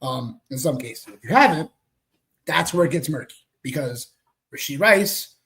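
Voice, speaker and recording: A young man with a raspy voice, co-hosting a casual sports chat in a hyped, slangy way. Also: a little high, expressive, fairly bright tone. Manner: hyped, slangy, quick asides. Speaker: co-host.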